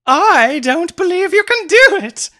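A man voicing a provocative young society wife in a high falsetto. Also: big pitch swings, unhurried, pushed and bright, full character voice.

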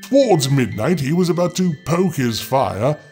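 A man's voice: fairly high pitch, expressive, measured pace, darkish tone. A man narrating a fairy tale as a storyteller, theatrical and impish in his delivery. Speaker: storyteller, host. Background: music.

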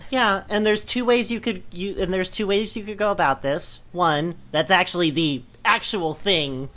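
A woman's voice: lowish voice, moderate pace, a bit bright. A woman bantering casually in a group, reading aloud and commenting.

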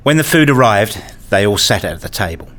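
Low-voiced weary father, played by a man. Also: big pitch swings, fairly quick, fairly bright tone. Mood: sombre, measured. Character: weary, hurt father and husband narrating his story. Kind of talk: first-person radio play narration and acting.

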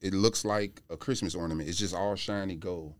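A man's voice: low voice, fairly even intonation, fairly quick, no echo. A man chatting as a podcast guest in an informal, blunt way. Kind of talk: casual sneaker podcast chat.

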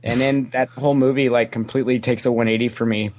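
Male podcast guest, mid-pitched voice, casual fan banter, opinionated with a sarcastic comic streak. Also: fast talker, a little hiss or hum. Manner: opinionated, animated when quoting, sarcastic joking. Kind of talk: casual, joking fan chat.

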